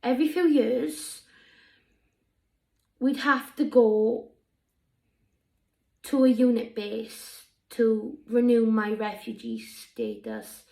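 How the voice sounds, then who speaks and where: A woman improvising as an earnest sixteen-year-old alien girl with a Welsh accent, telling her past in a halting, reflective way. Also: fairly high pitch, animated, gravelly, a little mellow, reverberant, exaggerated voice, low-quality recording. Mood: wistful. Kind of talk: improvised in-character monologue.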